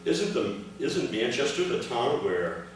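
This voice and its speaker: Guy reading a written memoir out loud, slow and swoopy pitch, short phrases, to a room of people. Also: big pitch swings. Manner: measured public delivery in short, separated phrases. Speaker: reader of his father's written memoir at a commemoration. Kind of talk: reading a prepared first-person account aloud to an audience. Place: large echoey room at an event, recorded from afar. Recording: echoey room, distant microphone, clear background noise.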